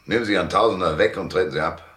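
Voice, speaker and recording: An actor playing a brusque, wry private detective in a German radio drama, with a sardonic, curt delivery. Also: male voice, sits low, rapid-fire, a bit bright, reverberant. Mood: impatient. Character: Marlow, brusque, wry, hard-boiled male private detective.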